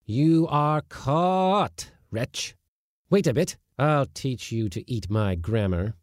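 A man's voice: mid-range voice, lively intonation, takes it slowly, no echo, clean studio sound, pitched above the performer's own voice. A man voicing an irascible middle-aged male Latin schoolmaster who blusters and scolds in a raised, strained voice. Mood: gloating.

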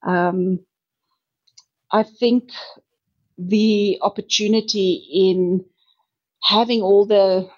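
A woman from South Africa with a South African accent, a guest speaking enthusiastically in a podcast interview with thoughtful pauses. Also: mid-range voice, fairly even intonation, dull audio. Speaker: guest, energy executive.

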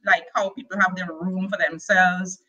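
Bright-voiced Tobagonian woman telling her story. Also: lowish voice, flat delivery, normal speed, poor audio. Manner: soft-spoken, hesitant with many fillers and restarts. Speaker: guest sharing her testimony. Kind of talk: personal testimony interview.

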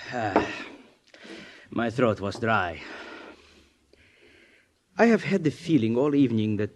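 An actor playing a suspicious, commanding communist party boss in 1950s radio drama style, authoritative and probing. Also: male voice, mid-range voice, big pitch swings, slow pace, pauses often. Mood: coolly probing. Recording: noisy 1950s radio recording with clicks.

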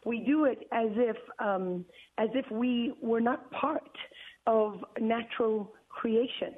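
A woman's voice: a little high, husky, no room sound. Middle-aged woman on a tinny phone line. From South Africa. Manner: deliberate, emphatic, with many fillers and repeated words. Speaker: guest journalist. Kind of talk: phone-in interview.

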